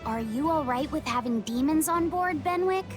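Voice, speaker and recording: An actress voicing a gentle, curious and earnest young innocent in a video game, with a hesitant, simple way of asking. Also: female voice, sits high, expressive, normal speed. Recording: game music behind the voice.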